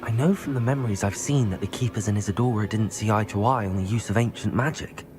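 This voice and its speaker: A man's voice: fairly low pitch, quick pace, lightly acted. A man playing an earnest, determined young wizard student in a cinematic fantasy game. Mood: earnest, thoughtful.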